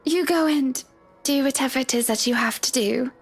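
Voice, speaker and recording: A genteel, wistful wife from a visual novel. Her voice sits high and has a noticeable creak. She is polite and measured, like a lady of a big house. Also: soft background music. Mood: polite, resigned. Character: married woman, genteel, wistful, polite new mansion owner. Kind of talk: visual novel game acting.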